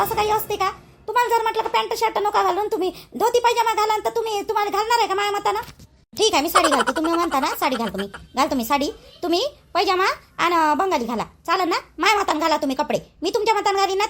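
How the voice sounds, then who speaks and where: A woman's voice: very high-pitched, very fast, a bit bright, a little hiss or hum. A woman voicing an outspoken, indignant young wife in a cartoon, arguing back in a Vidarbha Marathi accent. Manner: argumentative, rhetorical questions. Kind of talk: cartoon voice acting.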